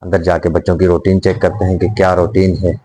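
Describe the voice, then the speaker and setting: A man's voice: low-pitched, flat delivery, fairly quick, dark tone, a little hiss or hum. A man talking casually to his viewers at home as a family vlogger, chatty and teasing with his kids. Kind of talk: casual home vlog chat. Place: home, indoors.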